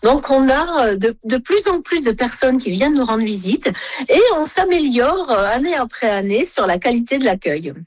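A woman's voice: a little high, very animated, normal speed, no room sound. A woman with a Saint-Pierre French accent, a travel agency president explaining her islands as a radio interview guest. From Saint-Pierre-et-Miquelon.

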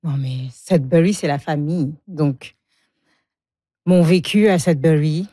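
A woman's voice: low-pitched, unhurried, dark tone, no room sound, clean studio sound. A woman in her forties from Congo, a guest speaking frankly about her life and often laughing. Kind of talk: emotional personal interview.

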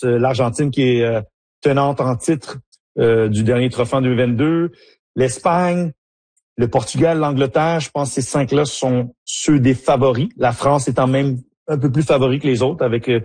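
A man's voice: mid-range voice, steady tone, moderate pace, a little mellow, no room sound. A man, a former player turned soccer analyst, answering interview questions with frequent fillers and restarts. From Haiti. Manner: hesitant, many fillers and restarts.

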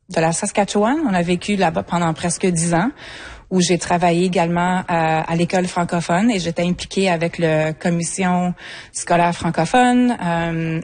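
A middle-aged woman from Montreal, a native English speaker, answering interview questions in a relaxed way with many fillers and restarts. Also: on the low side. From Montreal, Quebec. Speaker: guest, association coordinator.

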